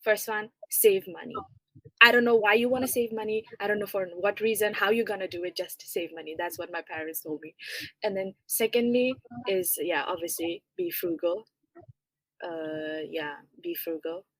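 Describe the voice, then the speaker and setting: A young woman guest chatting casually, relaxed and leaning on "like" as a filler. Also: mid-range voice, moderate pace, gravelly, dry recording, poor audio. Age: young adult. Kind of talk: casual chat.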